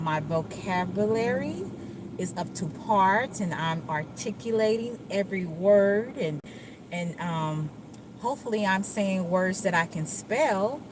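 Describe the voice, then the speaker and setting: A middle-aged woman with a Dallas accent, chatting in a rambling, laid-back way with lots of fillers and restarts. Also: fairly low pitch. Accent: Dallas, Texas. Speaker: vlogger. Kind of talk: casual chat while driving. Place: car. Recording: faint vehicle noise.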